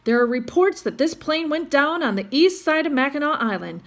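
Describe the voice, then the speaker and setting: A woman's voice: sits high, animated, normal speed, pitched above the performer's own voice. A woman voicing a bold male lifeboat captain who commands and rallies his crew in a storybook. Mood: grave briefing. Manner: commanding, shouted orders.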